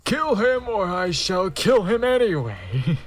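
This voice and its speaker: A narrator voicing an evil male dark lord, raspy, with slow menace and archaic speech. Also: medium pitch, big pitch swings. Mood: menacing.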